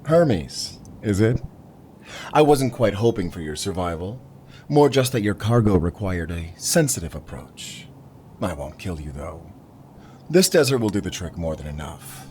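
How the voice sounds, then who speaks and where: A man's voice: low-pitched, very animated, measured pace, a little mellow, slight background noise. A man playing a cocky alien thief who leads a crew in a sci-fi audio roleplay, mock-polite and menacing. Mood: coolly threatening. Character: cool, cocky male alien cargo thief leader. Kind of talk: audio roleplay science fiction acting.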